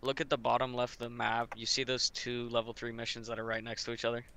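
A man with a bright, forceful voice of average pitch. He talks as a fellow player over game voice chat, slangy, profane and offhand. Faint noise sits in the background. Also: monotone, fast talker.

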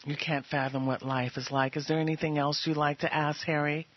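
A woman's voice: sits low, level tone, brisk, lo-fi sound, a little hiss or hum. A woman hosting a radio show, leading the interview and recapping the guest's points. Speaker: radio host.

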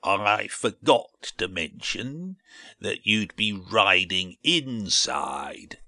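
A man voicing a sly, flattering male rook in a children's storybook, with oily, drawn-out courtesy. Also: on the low side, creaky, dry recording, studio quality, exaggerated voice. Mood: falsely kind. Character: sly, flattering old-fashioned male rook, large predatory bird.

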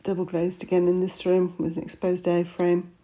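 A woman's voice: on the low side, completely flat delivery, normal speed, dark tone. A woman estate agent narrating a walkthrough of a house, listing its features matter-of-factly in short phrases. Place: inside an old house.

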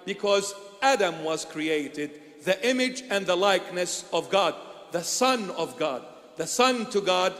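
An older man with an Iraqi accent preaching a sermon at a church altar, asking rhetorical questions and answering them himself, moving from loud emphasis to hushed asides. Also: sits high, lively intonation, measured pace, hardly any pauses. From Iraq. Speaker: bishop preaching. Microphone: handheld mic. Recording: long church reverb.

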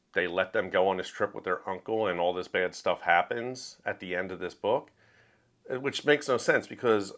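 A man hosting a review show, talking in a casual, opinionated monologue and getting emphatic when he makes a point. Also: lowish voice. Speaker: host of a book review show.

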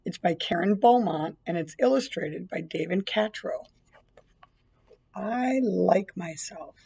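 A woman's voice: on the low side, takes it slowly, vocal fry, mellow, slightly rough. A woman in her fifties reading a children's picture book aloud as a teacher would. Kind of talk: children's storybook read-aloud. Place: small indoor school room or library.

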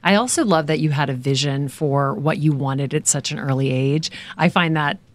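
A woman in her forties from Louisiana, hosting an interview podcast in a relaxed, conversational way. Her voice is fairly low, and she laughs often. She speaks into a desk mic in a small studio. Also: moderate pace. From Louisiana, USA. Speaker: podcast host.

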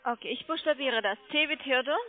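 A woman telemarketer making a sales pitch over the phone, persistent and polite, coaxing with check-back questions. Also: fairly quick. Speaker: telemarketing caller selling online trading. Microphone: telephone call. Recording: muffled phone line.

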